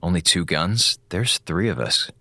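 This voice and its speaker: A man's voice: low-pitched, sing-song, a little slow, creaky, bright, forceful tone, dry recording, heavily acted. An American man acting in an audio drama as a jittery, sarcastic communications officer on a space station, with slangy, rambling talk.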